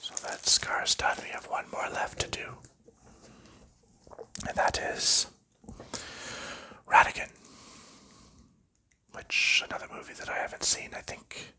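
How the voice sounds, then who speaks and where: A man whispering softly through an ASMR board game session, chatting in a rambling, easygoing way while sorting cards. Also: unhurried, pauses often. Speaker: ASMR host.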